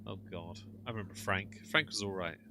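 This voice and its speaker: A man's voice: sits low, a little fast, bright, forceful tone, slight background noise. A man with a British accent hosting a casual live stream, ranting sarcastically and greeting chat viewers. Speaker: livestream host reacting to another streamer.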